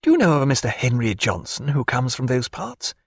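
A man voicing a guarded, formal physician who speaks in a measured, dry, precise way. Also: mid-range voice, sing-song, slightly rough, no room sound. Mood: probing. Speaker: doctor.

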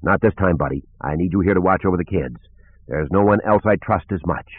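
Man as a low, curt, determined rogue hero. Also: brisk, breathy edge, no echo. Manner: curt, forceful. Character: gruff, urgent, determined roguish husband and father. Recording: narrow phone-like band.

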